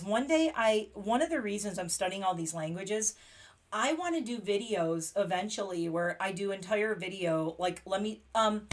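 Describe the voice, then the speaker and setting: A woman hosting a casual makeup livestream, chatty and excitable, laughing often. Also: medium pitch. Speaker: beauty livestream host.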